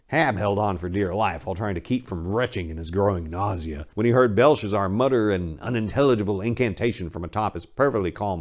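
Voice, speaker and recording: A man's voice: low voice, darkish tone. A man reading his own story aloud as dramatic narration, in a grave, ominous, emphatic manner.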